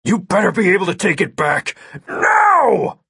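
An indignant male agent with a fiery temper, in audiobook style. The voice is pushed very high and forceful, with a gravelly edge. He bellows and whines in exasperation, swinging widely in pitch. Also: wildly swooping pitch, a little slow, no room sound, clean studio sound, exaggerated voice. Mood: furious. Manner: shouting, whiny, exasperated protests. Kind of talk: audiobook character voice.

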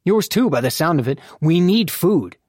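Man voicing a flippant, drawling young man, lifted pitch. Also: very animated, moderate pace, a little mellow, no room sound, studio quality. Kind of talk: audiobook character dialogue.

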